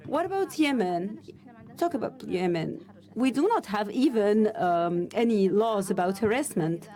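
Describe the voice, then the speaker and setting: A woman interpreting a panel discussion live, in halting, stop-start phrases with frequent restarts. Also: mid-range voice, lively intonation, mellow. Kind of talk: simultaneous interpretation of a panel talk.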